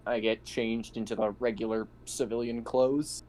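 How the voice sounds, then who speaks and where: A man's voice: mid-range voice, flat delivery, moderate pace, a little mellow. Cheerful male tabletop player. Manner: amused, laughs often. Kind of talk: tabletop role-play.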